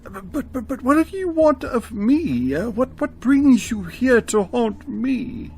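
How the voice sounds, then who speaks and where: A man playing a frightened, remorseful elderly miser in a radio drama, stammering and halting with theatrical emotion. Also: extremely high pitch, big pitch swings, creaky, a crowd in the background, heavily acted. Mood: frightened, pleading.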